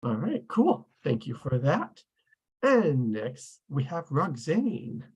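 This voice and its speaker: A man's voice: on the high side, wildly swooping pitch, slow pace, a little mellow, husky. A man hosting an online table read, casually introducing participants and thanking them.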